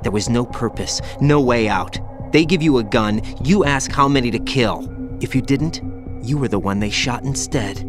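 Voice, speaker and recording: A man voicing a young male soldier haunted by his past as a child soldier, in a video game cutscene with music under it, talking quietly and guardedly. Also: mid-range voice, moderate pace, lightly acted. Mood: brooding. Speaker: game character.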